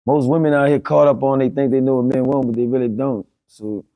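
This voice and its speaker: New dude, chill and hesitant, dark voice, dry close sound. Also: male voice, mid-range voice, brisk, no echo, low-quality recording. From New Orleans. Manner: relaxed, hesitant, repeats words. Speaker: guest. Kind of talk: casual interview talk.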